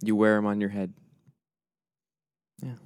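A man co-hosting a casual podcast, soft-spoken and a bit mumbly, with a laid-back delivery. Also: on the low side, level tone, unhurried, smooth, no room sound, studio quality. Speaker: podcast co-host. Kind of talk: casual chat.

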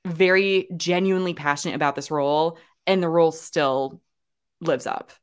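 A young woman co-hosts a relaxed podcast, chatting freely with plenty of fillers and false starts. Her voice sits a little low for a woman. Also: normal speed, clean tone. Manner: chatty, lots of fillers and restarts. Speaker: podcast co-host. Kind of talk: casual chat.

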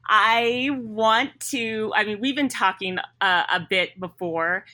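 A woman's voice: mid-range voice, takes it slowly, sharp tone. A woman hosting an interview, asking questions in a friendly and easygoing way with some fillers. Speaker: podcast host and interviewer.

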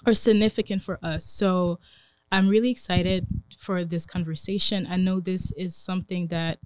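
A woman's voice: lowish voice, steady tone, normal speed, darkish tone, no echo. A young woman in her twenties hosting a casual podcast, talking in an earnest, reflective way with many fillers. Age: 20s. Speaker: podcast host.

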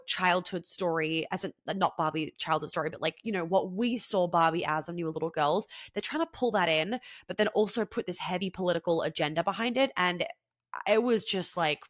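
A young woman talks straight into a dry, close podcast mic, her voice a little low and stuffy from a head cold. She is blunt and animated and swears freely. Casual solo chat from a host talking to her listeners. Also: no room sound.

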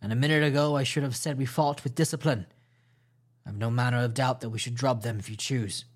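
A man reading aloud and voicing a stern ship's captain, stiff and disciplined, speaking in a clipped, measured, commanding way. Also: medium pitch, steady tone, lightly acted. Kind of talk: audiobook character voice.

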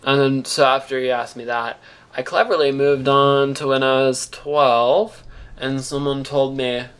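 A man's voice: mid-range voice, steady tone, measured pace, fairly bright tone, clean tone. A man performing a first-person audio drama monologue as narrator, in an awkward, earnest storytelling manner. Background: music.

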